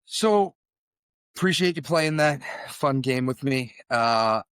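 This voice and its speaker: A man's voice: mid-range voice, lively intonation, slow, fairly bright tone, clean tone, no echo. A middle-aged man hosting a podcast in a conversational interview style. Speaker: podcast host.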